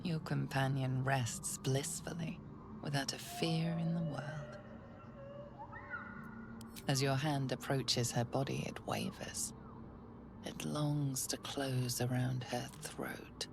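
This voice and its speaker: Game casting: ominous female narrator, hushed and deliberate, gravelly very low register, slow scripted delivery. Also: steady tone, noisy background, full character voice. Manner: hushed, breathy, deliberate storytelling. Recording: music behind the voice.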